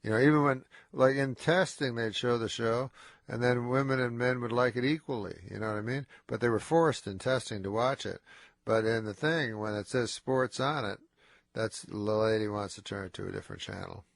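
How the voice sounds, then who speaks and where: A tired, stuffed-up man with a nasal voice, speaking as a guest in a casual radio interview in a slurred, low-energy, deadpan way. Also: moderate pace, gravelly, no room sound, clean studio sound.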